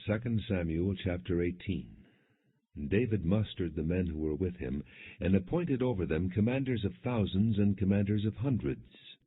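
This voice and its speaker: A man reading scripture as an audiobook narrator, in measured, clearly enunciated storytelling without dramatization. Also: low voice, lively intonation. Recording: muffled, phone-like lo-fi audio.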